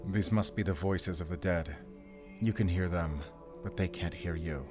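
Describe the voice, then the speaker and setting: A man playing a gruff, guarded but protective werewolf hunter with a German accent, speaking deliberately in a cinematic audio drama. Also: deep voice, natural voice. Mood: grave, protective. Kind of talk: cinematic audio roleplay acting. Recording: music behind the voice.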